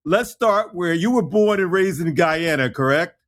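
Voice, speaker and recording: A male podcast host with a voice pitched a little high for a man. He keeps the conversation light and teasing as he steers his guest toward personal questions. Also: animated, normal speed, fairly bright tone. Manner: playful, teasing interviewer. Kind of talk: casual interview chat.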